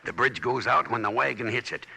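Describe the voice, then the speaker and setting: Gravelly, vengeful outlaw gunman. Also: medium pitch, fairly even intonation, a little fast, pushed and bright, heavily acted. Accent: American Western. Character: vengeful, hot-headed male outlaw gunman henchman. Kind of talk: 1950s radio western acting.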